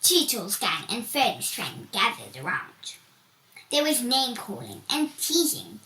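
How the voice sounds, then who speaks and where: High, very animated woman reading a storybook. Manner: deliberate, expressive storytelling with emphatic swoops. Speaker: volunteer story reader. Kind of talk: children's storybook read-aloud. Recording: echoey room with background hiss.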